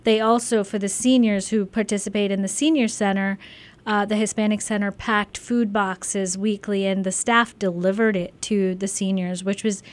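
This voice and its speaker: A woman's voice: medium pitch, steady tone, normal speed. A middle-aged woman from Sri Lanka, a physician and volunteer, answering interview questions in an earnest, enthusiastic way.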